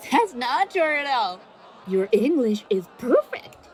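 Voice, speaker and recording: A patient young yokai woman played by a woman in an audio drama. She speaks slowly in a high, lively voice, politely chiding, over faint music. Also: some chatter behind, slight background noise, exaggerated voice, poor audio. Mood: friendly, chiding. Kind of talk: radio drama acting.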